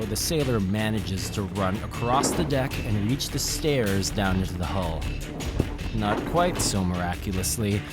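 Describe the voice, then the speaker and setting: A man narrating a scripted horror tale as a dramatic storyteller. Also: lowish voice.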